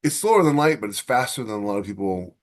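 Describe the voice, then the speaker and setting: A man's voice: on the low side, big pitch swings, fast. A man co-hosting a casual science fiction podcast, talking with enthusiasm and acting out imagined quotes as he explains. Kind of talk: casual discussion of sci-fi technology.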